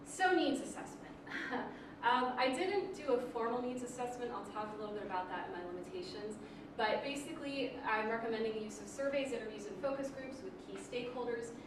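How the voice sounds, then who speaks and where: A woman's voice: on the high side, brisk. A young woman giving an academic presentation as a graduate student, earnest and full of ums, with light laughs. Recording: echoey room recorded from far off with noise.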